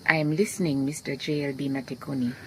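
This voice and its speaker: An actress playing a calm, shrewd, kindly detective in a radio drama, with a Southern African accent and a measured, gently wry delivery. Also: female voice, a bass voice, slight background noise, low-quality recording.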